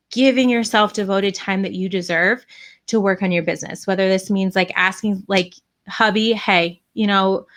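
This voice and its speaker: A young woman hosting a casual live podcast, chatty and encouraging, with lots of fillers. Her voice is tired and starting to go hoarse. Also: medium pitch, a bit bright. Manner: chatty and encouraging, with frequent fillers and asides to the audience. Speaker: podcast host and direct sales coach.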